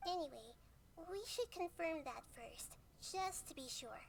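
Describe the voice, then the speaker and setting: A woman voicing a small childlike goddess in a video game, soft and youthful, with a measured, polite and thoughtful manner. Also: high-pitched, animated, creaky, heavy background noise, exaggerated voice. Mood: calm, analytical. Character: small childlike female deity, wise, gentle and composed. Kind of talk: video game dialogue acting.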